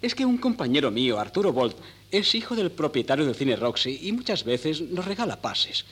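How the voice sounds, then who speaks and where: Animated, higher-voiced polite young gentleman. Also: moderate pace, husky, heavily acted. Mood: polite, innocent. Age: young adult. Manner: formal, courteous. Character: polite, bookish, unwitting young gentleman son. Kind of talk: 1970s Spanish radio comedy acting. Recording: noisy, humming 1970s cassette.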